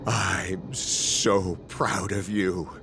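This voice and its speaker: An actor playing a scheming, blustering middle-aged businessman father in a video game, with theatrical, drawn-out emphasis. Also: low-pitched, level tone, background noise. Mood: dramatic, proud.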